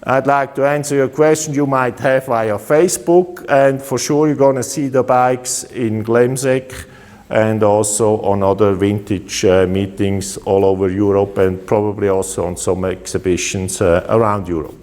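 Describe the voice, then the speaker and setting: A man with a Swiss German accent, a custom motorcycle builder giving a casual walkaround of his bikes, relaxed and halting with many fillers. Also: lowish voice, big-room sound.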